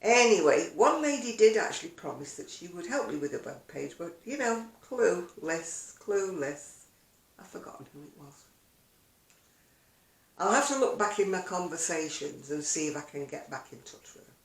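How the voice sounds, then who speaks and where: A woman's voice: fairly low pitch, big pitch swings, normal speed. An older woman chatting casually to camera as a video blogger, rambling along with self-deprecating asides in an echoey room. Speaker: video blogger and craft seller.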